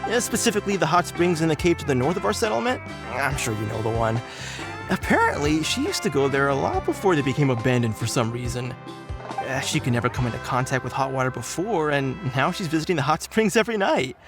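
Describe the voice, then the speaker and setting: A man's voice: fairly high pitch, very animated, fast, music in the background. A man playing an eager, friendly young villager in a video game, earnest and chatty. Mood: excited, confiding. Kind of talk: English video game voice acting.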